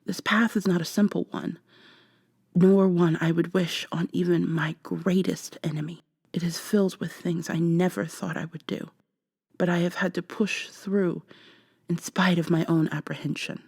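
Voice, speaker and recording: A woman playing an earnest, regretful robot woman in an ASMR audio drama, speaking softly in a formal, measured way. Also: fairly low pitch, steady tone, slightly rough, no room sound, clean studio sound. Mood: solemn, earnest.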